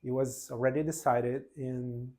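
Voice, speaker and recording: Brazilian man in his forties, earnest, halting delivery with restarts, unscripted personal testimony. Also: medium pitch, fairly even intonation, a little mellow. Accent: Brazilian Portuguese. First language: Portuguese. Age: 40s. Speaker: vlogger.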